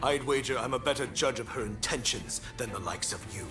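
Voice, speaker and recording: An actor playing a haughty, powerful chief minister in a samurai-era video game, imperious and disdainful in a measured way. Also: male voice, mid-range voice, expressive, music in the background, close to an everyday voice. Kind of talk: video game cutscene acting.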